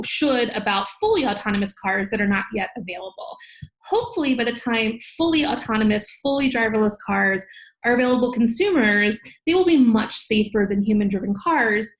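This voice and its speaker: Woman, higher voice, articulate and emphatic, explanatory podcast interview talk. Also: low-quality recording. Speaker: podcast guest, legal scholar.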